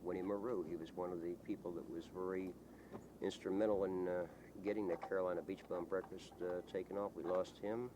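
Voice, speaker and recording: A man with a very deep voice talks casually to an interviewer. He rambles in a folksy, halting way, like someone used to telling local stories. The recording carries clear background noise and vehicle rumble. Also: flat delivery. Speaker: local resident being interviewed.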